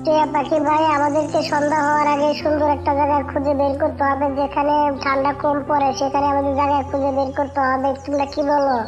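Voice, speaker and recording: A woman's voice: high-pitched, completely flat delivery, fast talker, hardly any pauses, over music, lightly acted. A woman voicing a small, worried, bossy talking bird in cartoon story dialogue, often pressing for agreement. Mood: worried, urging.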